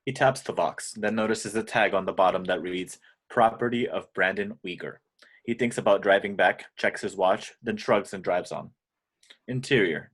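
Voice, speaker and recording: A man's voice: on the low side, a bit bright, husky. A man reading stage directions as scripted narration in a table read.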